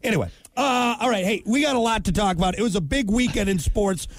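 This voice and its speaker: A man co-hosting a morning radio show with a rough-sounding voice, teasing and cracking jokes. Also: high voice, expressive, fast, dry recording. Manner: wisecracking, teasing. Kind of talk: jokey morning radio banter.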